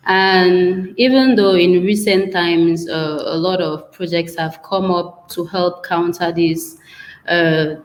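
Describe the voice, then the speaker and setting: A woman speaking on an online panel, her voice sitting on the lower side. She explains things steadily and thoughtfully, pausing with small "uh"s between ideas. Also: rough recording. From Cameroon. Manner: measured, explanatory, with frequent "uh" pauses. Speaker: panelist, tech mentor. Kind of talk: panel discussion.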